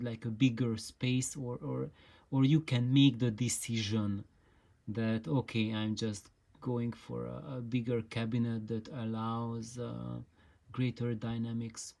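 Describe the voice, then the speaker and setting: A host giving an informal explainer talk in a halting, hesitant way, with frequent pauses and repeated words. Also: medium pitch, gravelly, mellow. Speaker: host of an audio gear channel.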